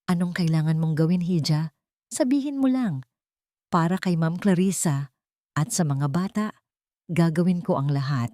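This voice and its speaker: A woman's voice: fairly low pitch, lively intonation, normal speed, pauses often, mellow, dry recording, studio quality, lightly acted. Woman playing an earnest, loyal elderly housekeeper. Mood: resolute. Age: older. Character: loyal elderly housekeeper, grieving, fiercely resolute.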